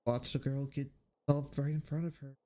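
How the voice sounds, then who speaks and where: A man reading game dialogue aloud on a livestream in a halting, subdued way. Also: medium pitch, flat delivery, dark tone, a little hiss or hum. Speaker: game streamer.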